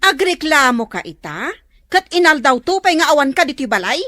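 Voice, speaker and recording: Casting: fed-up bossy wife, Ilocano accent, sharp scolding, very high and bright, comic radio drama. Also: female voice, big pitch swings, fairly quick, no room sound, exaggerated voice. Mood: furious, scolding. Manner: nagging, sharp exclamations.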